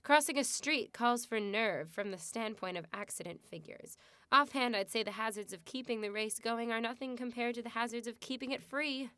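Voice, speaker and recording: A woman plays a frank expectant wife in a radio drama, her voice on the high side. She is wry and unsentimental, composed and matter-of-fact, recorded close and dry. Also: normal speed, a bit bright, no echo, natural voice. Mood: earnest, philosophical.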